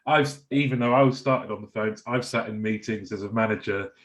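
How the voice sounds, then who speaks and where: A man's voice: fairly low pitch, fairly quick. A man hosting a podcast, friendly and conversational, asking long, rambling questions. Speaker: podcast host. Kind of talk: podcast interview chat.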